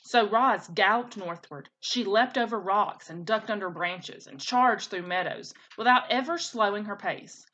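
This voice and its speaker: A woman teacher reading a children's storybook aloud in an expressive way. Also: mid-range voice, a little slow, few pauses, muffled recording.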